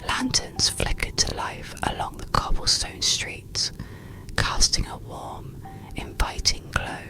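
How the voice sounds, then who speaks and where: A narrator whispering a bedtime story and guided relaxation in a slow, gentle, soothing ASMR style. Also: sits high, creaky, low-quality recording.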